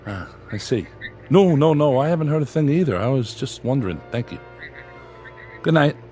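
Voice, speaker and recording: An actor playing a driven, suspicious newspaper editor in a radio drama, curt, testy and quick to get defensive. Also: male voice, medium pitch, lively intonation, close to an everyday voice. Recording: radio drama with music underneath.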